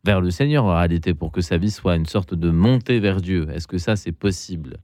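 A man's voice: low-pitched, animated, brisk, a little mellow, dry recording, studio quality. A man hosting a call-in radio show, leading the questions in a friendly way. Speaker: radio host.

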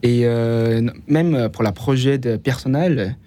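A young man with a foreign accent, a non-native French speaker, gives halting answers as a student guest in an interview. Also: mid-range voice, dark tone, slight background noise. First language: not French, learned it after moving to France. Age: young adult.

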